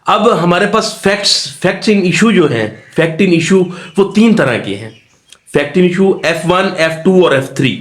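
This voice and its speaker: A man's voice: high voice, lively intonation, slow pace. A man giving an instructional lecture, didactic and repeating key points as he explains. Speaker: law lecturer. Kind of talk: exam-focused lecture mixing Urdu-Hindi and English terms.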